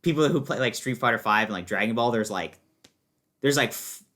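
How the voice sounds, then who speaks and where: A man's voice: medium pitch, animated, a little fast, bright, forceful tone. A young American man chatting casually as a podcast guest, explaining things in an informal, rambling way full of fillers. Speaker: podcast guest, fighting game player.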